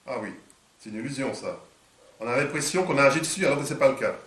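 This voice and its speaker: A man interviewing, halting with many fillers and restarts, a little stuffed up from a cold. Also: mid-range voice, expressive, fairly bright tone. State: congested from a cold. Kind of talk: hesitant conversational interview. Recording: echoey room, distant mic.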